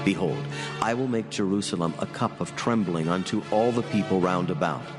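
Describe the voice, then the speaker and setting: An actor playing a mighty, solemn God of scripture, declaiming with grave weight in a dramatized reading. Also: male voice, deep voice. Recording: long cavernous reverb. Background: music.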